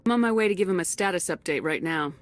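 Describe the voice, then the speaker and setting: An actress playing a calm female starship commander in a video game. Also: lowish voice, fast talker, natural voice. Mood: even.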